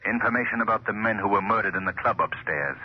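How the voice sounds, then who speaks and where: An actor playing a commanding, ominous invisible crime avenger in a 1940s radio drama, speaking deliberately and formally. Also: male voice, fairly low pitch, husky. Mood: stern, menacing. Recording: muffled old 1940s radio recording.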